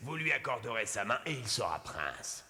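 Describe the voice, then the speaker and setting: A man voicing a shrewd, composed godfather and storyteller in a French-dubbed cartoon, measured and formal. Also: medium pitch, sing-song, pushed and bright. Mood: bargaining. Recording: reverberant, music behind.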